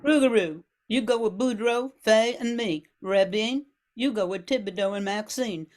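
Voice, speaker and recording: A woman's voice: fairly high pitch, normal speed, a little mellow, lightly acted. An older woman playing a practical bayou woman who gives orders in an amateur radio play, reading plainly with small stumbles. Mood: instructing. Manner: plain, directive, stumbles over script.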